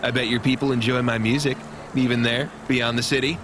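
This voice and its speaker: A man's voice: medium pitch, little pitch movement, a little fast, background noise, close to an everyday voice. A man playing a brash, self-important music mogul in a video game, pompous and pushy. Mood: boastful. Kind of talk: video game dialogue acting.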